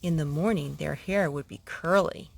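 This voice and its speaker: A woman's voice: sits low, normal speed, creaky, noisy background. A woman reading stories aloud for children, now and then correcting a slip as she goes. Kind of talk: read-aloud children's storytelling.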